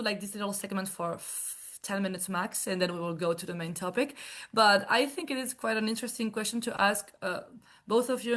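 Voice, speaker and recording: A woman's voice: mid-range voice, fairly bright tone. Basque-accented woman hosting a podcast. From Basque Country. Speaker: podcast host. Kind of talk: conversational podcast interview.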